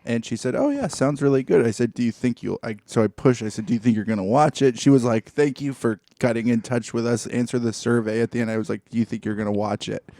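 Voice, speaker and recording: A man's voice: mid-range voice, fast talker, few pauses, warm tone, dry recording, clean studio sound. A man, middle-aged, chatting casually and telling anecdotes with a wry, mock-formal turn of phrase, as a podcast co-host.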